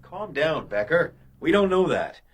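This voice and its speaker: A man's voice: fairly high pitch, very animated, unhurried, breathy edge, heavily acted. A man playing a level-headed police sergeant in a radio crime drama, with a dry, skeptical manner. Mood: steady, concerned. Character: level-headed, wry male police sergeant, the detective's sidekick.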